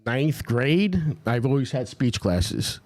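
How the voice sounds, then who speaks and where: A man with a middle-pitched voice talks as a guest on a podcast. He reminisces casually and informally, often saying a phrase twice to stress it. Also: expressive, slow pace. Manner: repeats phrases emphatically, informal.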